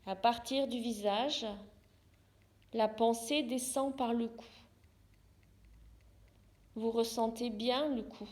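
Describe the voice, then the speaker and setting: A woman leads a guided relaxation. She speaks very slowly and calmly, giving short instructions and leaving space between them. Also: fairly high pitch. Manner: calm, unhurried, short soothing instructions with pauses. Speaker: yoga therapist guiding relaxation. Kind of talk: guided yoga nidra meditation.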